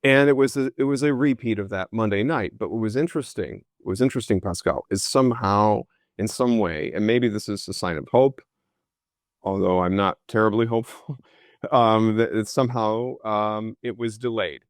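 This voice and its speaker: A man's voice: lowish voice, moderate pace, dry recording, clean studio sound. A man, a historian answering interview questions with many fillers and restarts. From United States. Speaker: historian guest.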